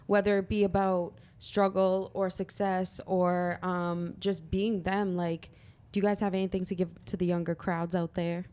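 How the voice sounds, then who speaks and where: A woman's voice: on the low side, level tone, moderate pace, a little mellow, clean tone. A young woman hosting a podcast, asking casual questions in a chatty, upbeat way. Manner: chatty, upbeat, laughs often. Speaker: podcast host.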